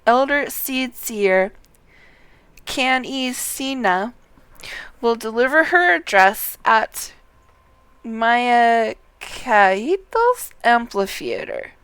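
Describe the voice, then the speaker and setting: A woman reads out a polite, chatty quest-giver from a fantasy game. The voice is clean and smooth, with slow, careful pacing. The phrasing is formal and a little fussy. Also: on the high side, animated, a bit bright, no room sound, full character voice. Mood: brisk, instructive. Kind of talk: reading game dialogue aloud.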